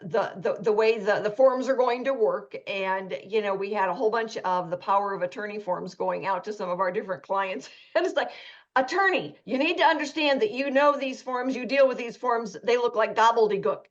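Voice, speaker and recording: A woman hosts a radio talk show, chatting through stories and acting out quoted lines. Her voice is mid-pitched. The audio is slightly dull and lo-fi. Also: fairly quick.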